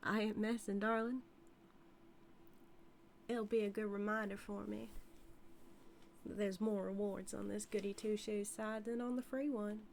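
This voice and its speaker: Woman voicing a dangerous flirty cowgirl outlaw, Southern US drawl, mid-pitched, teasing and folksy, intimate roleplay acting, faint noise behind. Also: little pitch movement, measured pace, creaky, long pauses. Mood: amused, flirty. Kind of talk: scripted audio roleplay, intimate character acting.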